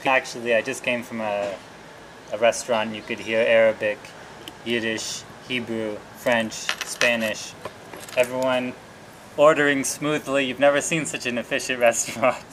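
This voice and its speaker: A man interviewing, speaking reflectively and a little haltingly as he searches for words. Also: medium pitch, little pitch movement. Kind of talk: conversational interview. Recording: busy crowd noise behind. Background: crowd chatter.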